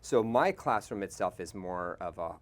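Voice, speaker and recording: A man who teaches English, talking casually in an interview, relaxed and rambling with many restarts. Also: low voice, moderate pace.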